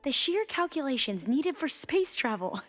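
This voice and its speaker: Nervous, studious young woman scholar for a game cutscene; halting, earnest, formal wording, music underneath. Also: high-pitched, sing-song, fairly bright tone. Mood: eager, awed. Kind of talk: video game cutscene dialogue.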